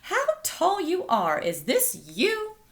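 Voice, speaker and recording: A woman's voice: very high-pitched, huge pitch swings, slow pace, fairly bright tone, pitched above the performer's own voice, heavily acted. A woman reading a storybook, voicing an affectionate grandparent who gushes over visiting grandchildren. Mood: delighted. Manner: gushing exclamations.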